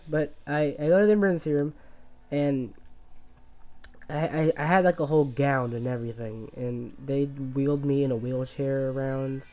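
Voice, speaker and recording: Mellow-voiced man with a slight cough. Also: mid-range voice. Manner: halting, many restarts and fillers, laughs at himself. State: slight cough, voice worn from illness. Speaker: gamer commentating his own gameplay. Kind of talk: casual rambling story over gameplay.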